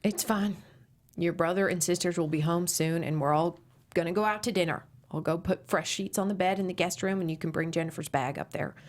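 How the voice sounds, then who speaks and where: A woman's voice: lowish voice, brisk, natural voice. An actress playing a bossy middle-aged mother at a table read, prim and scolding, swapping swear words for tame ones. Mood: clipped. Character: bossy middle-aged Midwestern mom, Sally.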